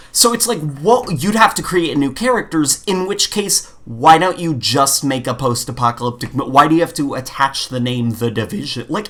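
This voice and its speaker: A man's voice: a little high, fairly bright tone. A man co-hosting a podcast in casual gaming chat, talking in an informal, opinionated, rambling way peppered with "like". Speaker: podcast co-host.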